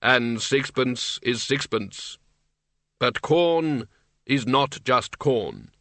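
Audiobook reaper played by a man: skeletal, unhurried, terse, gravely dry, very measured delivery. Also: mid-range voice, a bit bright, no echo. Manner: slow, deliberate, terse. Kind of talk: audiobook character voice.

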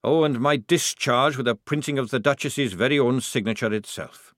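A man voicing a gruff, sardonic old army sergeant in an audiobook, with a barking military manner. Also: mid-range voice, moderate pace, a bit bright, dry recording, clean studio sound, pitched above the performer's own voice, natural voice. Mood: sardonic shock. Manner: barking, sarcastic military speech.

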